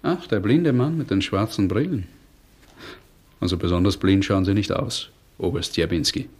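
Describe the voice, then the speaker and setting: An actor playing a brisk, discreet British naval commander in a German radio play, businesslike and dryly urbane. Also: male voice, deep voice, darkish tone. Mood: brisk, conspiratorial.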